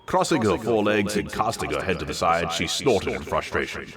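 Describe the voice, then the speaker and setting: A man's voice: low-pitched, expressive, fairly quick, crowd noise. A man reading a story aloud in a dramatic narrating style. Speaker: story reader.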